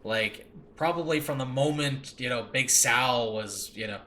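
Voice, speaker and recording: A man's voice: mid-range voice, a little slow, bright, forceful tone. A man hosting a casual, unscripted podcast about his own audio drama, talking in a rambling, earnest, self-deprecating way. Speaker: host and audio drama creator. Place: makeshift room in a new home. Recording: noisy makeshift home recording.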